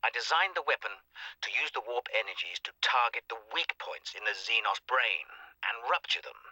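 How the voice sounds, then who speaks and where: Intense, sharp-voiced Inquisitor. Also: male voice, medium pitch, lively intonation, normal speed, slightly rough, no echo, full character voice, poor audio. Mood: cold, clinical. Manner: imperious, clinical, brooding. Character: driven male alien-hunting Inquisitor, cold and obsessive scientist. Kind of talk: dramatic sci-fi audio log narration.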